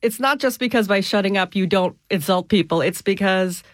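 A woman from the United States, an author being interviewed on the radio, explaining ideas clearly with everyday examples. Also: medium pitch, a little fast, no room sound, studio quality.

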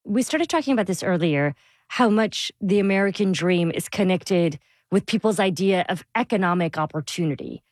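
Measured woman asking interview questions. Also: fairly low pitch, lively intonation, dry recording, clean studio sound. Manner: measured, probing. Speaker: interview host.

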